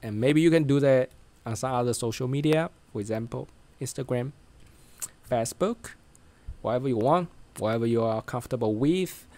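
A man's voice: mid-range voice, a little mellow. A man in his thirties with a Chinese accent speaks casual English into a close studio mic in a small room, stopping often to restart his sentences. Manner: halting, many restarts and fillers. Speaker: vlogger. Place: small bright room indoors. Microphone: studio mic close in front.

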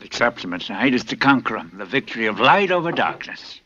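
An actor playing a sixty-year-old plantation master, pompous and cruel, with a self-satisfied drawl that can flare into furious shouting. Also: male voice, mid-range voice, big pitch swings, brisk, noisy background, natural voice. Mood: smug. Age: 60s. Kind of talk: film acting. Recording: dull lo-fi film sound with music.

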